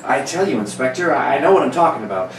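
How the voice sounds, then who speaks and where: A man's voice: mid-range voice, expressive, fast, lightly acted. A man playing an earnest, determined newspaper reporter with a secret superhero identity, in a 1940s radio serial reading. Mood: urgent. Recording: roomy, noisy, distant stage microphone.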